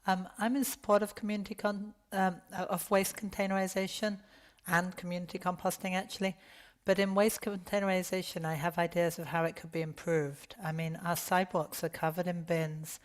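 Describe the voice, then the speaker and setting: A hoarse woman who has nearly lost her voice, testifying hesitantly over a video call at a public hearing. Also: fairly low pitch, flat delivery, fairly quick. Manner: hesitant, self-correcting.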